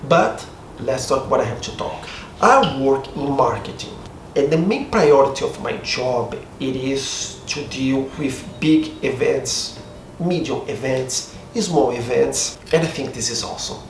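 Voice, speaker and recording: A middle-aged man from Brazil with a Portuguese accent and a slightly hoarse voice, chatting upbeat to camera at home. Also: mid-range voice, unhurried. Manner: chatty, upbeat, self-deprecating. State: a bit hoarse. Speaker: vlogger. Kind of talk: casual talk to camera. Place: home room with outside noise. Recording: roomy echo, distant mic, faint street noise.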